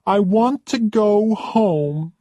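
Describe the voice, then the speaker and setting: A reader voicing a spoiled, demanding woman who complains shrilly and loudly. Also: medium pitch, expressive, very slow, no echo, voice pushed up. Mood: fed up.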